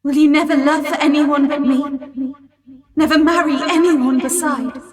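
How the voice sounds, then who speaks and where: A woman's voice: high voice, fairly even intonation, a little slow. A woman playing a tender, urgent young lady in peril in a melodramatic radio drama. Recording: music and sound effects behind.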